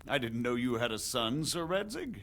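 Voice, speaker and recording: A man playing a stately, shrewd middle-aged nobleman in a game drama, speaking formally with deliberate emphasis. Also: medium pitch, sing-song, creaky, a bit bright. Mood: courtly. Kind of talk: dramatic game cutscene acting.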